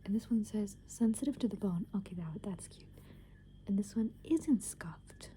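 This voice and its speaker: A young woman whispering close to the microphone, rambling softly and casually with plenty of "like" and "um" as she talks. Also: mid-range voice, expressive, moderate pace, a little hiss or hum. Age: young adult. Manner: soft unvoiced whisper, rambling with many fillers. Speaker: ASMR creator. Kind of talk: whispered ASMR soft rambling.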